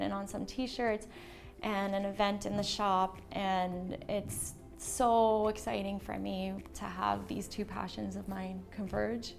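A woman's voice: mid-range voice, vocal fry. An American woman speaking casually and enthusiastically in an interview as a small business owner. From born in Slovakia, moved to the United States at seven. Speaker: small business owner, textile designer and shopkeeper.

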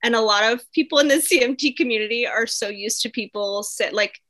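A woman in her twenties, a podcast guest, explaining things in a relaxed way with frequent ums. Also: on the high side, fairly quick. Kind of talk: casual interview answers.

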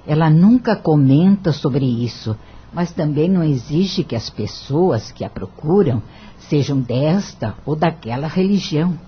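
A muffled radio drama recording of a gentle, admiring mother. Her middle-aged voice is very raspy and moves at an unhurried, tender pace. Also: low voice, expressive, exaggerated voice. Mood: tender, moved.

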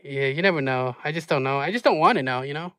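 A man's voice: on the high side, fast talker, sharp tone, smooth, no echo, clean studio sound. A man hosting a casual podcast chat, laid-back and laughing often, with lots of fillers. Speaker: podcast host.

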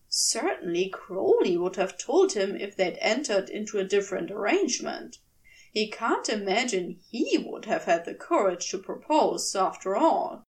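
A woman reading a scripted story as narrator, in an expressive and deliberate storytelling manner. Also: mid-range voice, distant mic. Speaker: podfic narrator.

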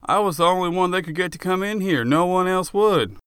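A man's voice: sits high, a little fast, a bit bright, clean tone, no room sound, studio quality, voice pushed up. A man voicing a furious, exasperated cop who confronts his friend in emphatic, demanding outbursts for an audiobook. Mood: agitated, insistent.

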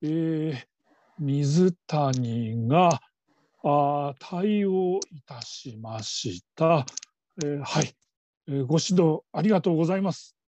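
A woman's voice: sits low, lively intonation, slow pace, dark tone, highs cut off, heavily acted. A woman playing a nervous, humble call-centre trainee in her fifties in a radio drama, stammering and stiffly over-polite. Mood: apologetic, earnest. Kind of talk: Japanese radio drama acting.

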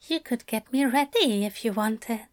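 A murmuring male angel, tender and sly, coaxing in a hushed, raised voice, as a woman reader puts him on. He lingers over each phrase, unhurried and intimate, in a storybook reading. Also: sits high, expressive, voice pushed up. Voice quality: soft, murmuring.

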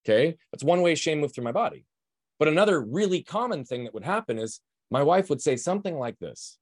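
A man speaking fast and loud, full of energy, as he explains ideas as a podcast guest. His voice sounds close and dry, with no room around it. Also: fairly high pitch, very animated, no room sound. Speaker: guest, performance coach. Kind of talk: podcast interview, emphatic explaining.

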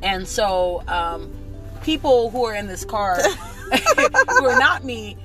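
A hoarse young woman chatting playfully in a parked car. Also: medium pitch, sing-song, takes it slowly, music in the background. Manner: playful, laughing, churchy slang. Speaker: vlog co-host. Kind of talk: casual chat in a car. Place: parked car in a drive-thru line.

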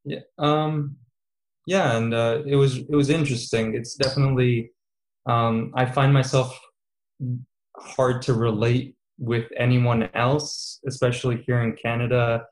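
A man's voice: medium pitch, unhurried, rough recording. Young man, chatty interview guest. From Serbia, raised in Qatar. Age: 20s. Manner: relaxed, many fillers, laughs easily. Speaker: guest, engineering student. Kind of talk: casual interview chat.

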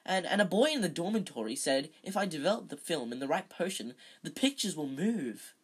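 A woman's voice: lowish voice, animated, a little fast, slightly rough. A woman voicing an eager, starstruck small schoolboy who babbles and stammers breathlessly in a storybook reading. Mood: eager, awed.

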